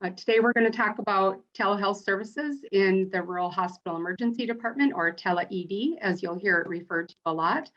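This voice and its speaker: A woman's voice: mid-range voice, brisk, few pauses. A woman hosting a webinar, reading out an introduction. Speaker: webinar host. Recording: dull, band-limited webinar audio.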